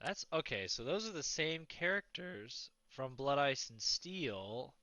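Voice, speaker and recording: Male streamer, bright tone, animated pitch, casual offhand game reactions. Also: slow, no echo, highs cut off.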